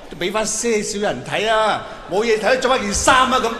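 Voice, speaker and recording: A man's voice: high-pitched, animated, a little fast, pushed and bright. A middle-aged Hong Kong Cantonese man doing live stand-up, chatty and colloquial, putting questions straight to a big audience. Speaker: stand-up comedian. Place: large indoor arena stage. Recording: echoey arena PA, long reverb, noisy.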